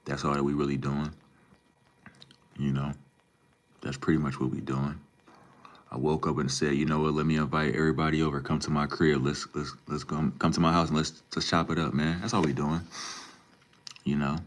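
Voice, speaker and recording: A man's voice: a bass voice, little pitch movement, normal speed, long pauses. A young man from Minneapolis, livestreaming and chatting casually with viewers, laid-back and full of slang. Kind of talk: casual live stream chat, reading and answering viewer comments.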